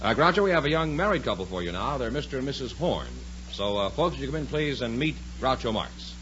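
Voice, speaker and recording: A man's voice: medium pitch, expressive, quick pace, over loud music. A man with an American accent announcing in a polished, emphatic 1950s radio advertising style. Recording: muffled 1950s radio broadcast, faint noise.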